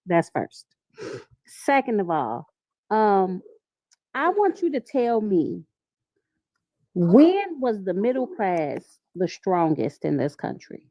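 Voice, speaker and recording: A woman throws sassy, crude jabs in a casual chat. Her voice is mellow and swings lively in pitch. The sound is a little dull and dry. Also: takes it slowly, pauses often, no echo.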